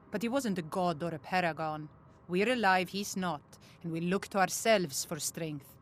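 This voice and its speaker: A woman's voice: on the low side, slight background noise, natural voice. A woman playing a tough, self-assured clan leader in a video game, blunt and confident. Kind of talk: video game character dialogue. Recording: faint music behind the voice.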